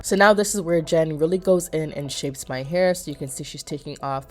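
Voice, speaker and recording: A woman's voice: sits low, brisk, music in the background. A young woman narrating a hair vlog in a chatty, explaining way, a bit nasal from a cold. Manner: chatty, explanatory, addresses viewers as you guys. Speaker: beauty vlogger. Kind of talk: casual vlog voice-over.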